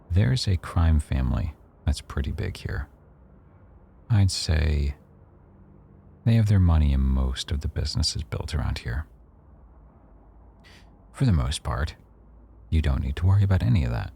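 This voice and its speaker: A man playing a rough-edged mafia boss who owns a cafe, teasing, probing and quietly threatening, in a boyfriend ASMR roleplay. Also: very deep voice, a little hiss or hum, full character voice. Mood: cautioning. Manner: teasing, probing, smugly self-assured.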